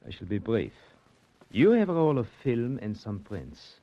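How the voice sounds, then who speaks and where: An actor playing a sinister, formal villain who talks slowly and chuckles, in an old radio drama. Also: male voice, medium pitch, very animated, dry recording. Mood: menacing. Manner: slow, formal, mocking, chuckling. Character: smooth sinister male foreign-sounding villain. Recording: dull old radio recording.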